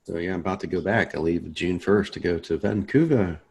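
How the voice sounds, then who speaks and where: A man's voice: deep voice, a little fast, creaky, a little mellow, breathy edge. A man hosting a course, joking in laid-back casual chat on a video call before the lesson. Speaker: course host.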